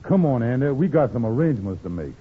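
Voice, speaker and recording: A man's voice: medium pitch, big pitch swings, quick pace, close to an everyday voice. An actor playing a blustery middle-aged male schemer in an old radio comedy, wheedling in folksy dialect grammar. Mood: scheming. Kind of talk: 1940s-50s radio comedy acting. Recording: muffled, hissy old radio recording.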